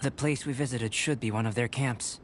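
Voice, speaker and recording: Composed young scholar, played by a man: even mid-range voice, measured and formal, over a game score. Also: medium pitch, faint music behind, natural voice. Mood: analytical. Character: calm, aloof young male alchemist, quietly curious. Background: game soundtrack.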